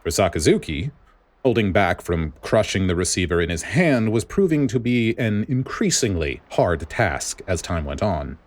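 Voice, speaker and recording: A man narrates a piece of dramatic fiction. His voice is on the low side and moves expressively through the scripted prose. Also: sing-song, normal speed. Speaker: audiobook narrator.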